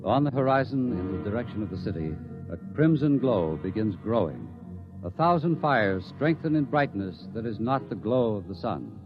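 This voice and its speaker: A man giving solemn, ominous scripted narration for a radio drama in measured phrases. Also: on the low side, sing-song. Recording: dull lo-fi, faint music behind.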